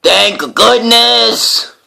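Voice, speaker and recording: A man voicing a female storybook owl in a shrill, drawn-out falsetto hoot. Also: vocal fry, pushed and bright, full character voice. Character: Miss Oliver, a hooting female storybook owl.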